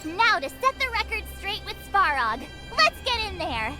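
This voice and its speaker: A sassy young girl adventurer with a very high, swooping voice and drawn-out pacing. She is excitable and grumbly, in anime game style, over clear music. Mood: cocky, eager. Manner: expressive, sassy, informal. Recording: music behind.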